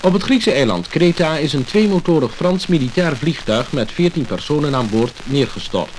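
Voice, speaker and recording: A man's voice: on the high side, lively intonation, moderate pace, a little hiss or hum. A man with a Dutch accent reading scripted radio news, on a mid-century disc recording. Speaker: newsreader.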